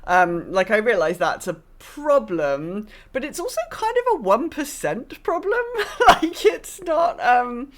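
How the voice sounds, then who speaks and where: A woman's voice: on the high side, big pitch swings, takes it slowly, hardly any pauses. A woman hosting a podcast, talking casually about gaming with wry, sardonic asides. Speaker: podcast host. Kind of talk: casual discussion of a video game.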